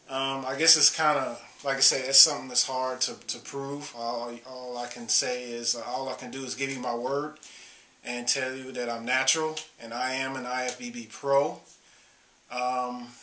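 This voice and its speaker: A man's voice: mid-range voice, pushed and bright, echoey room, slight background noise. A man in his late thirties, a bodybuilder, talking casually to his viewers with lots of "uh" and restarts. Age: 30s. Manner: halting, many fillers and restarts. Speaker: bodybuilder vlogger. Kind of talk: casual talk to camera.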